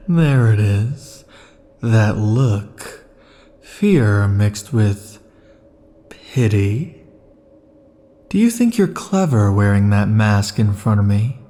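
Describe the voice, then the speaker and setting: A man voicing an obsessive, possessive male immortal in an ASMR roleplay, talking close to the mic in a slow, intimate, brooding way. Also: on the low side, warm tone. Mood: menacing. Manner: slow, intimate, brooding delivery with sudden furious outbursts.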